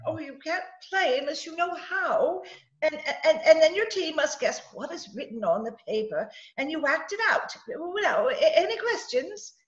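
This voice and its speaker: A woman's voice: high voice, expressive, normal speed. A woman playing a fussy, dithering society hostess in 1940s radio style, stammering as she goes. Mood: fussy excitement. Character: fluttery, fussy society hostess running party games. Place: home, recorded over video call. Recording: echoey muffled home video-call recording.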